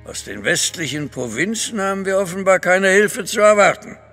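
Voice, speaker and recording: Commanding grand duke in an echoing hall. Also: male voice, sits high, lively intonation, moderate pace, sharp tone, soft background music, heavily acted. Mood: grave. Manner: formal, authoritative. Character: commanding Russian grand duke, the Tsar's brother, formal. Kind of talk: German audio drama acting. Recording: long reverb of a large hall.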